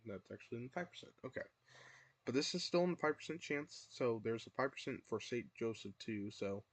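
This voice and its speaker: A man's voice: medium pitch, dry recording. A young man, hosting a live weather stream from his room, losing his voice and repeatedly urging viewers to take shelter. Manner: repetitive, filler-heavy, urges viewers to take shelter. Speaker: livestream weather host.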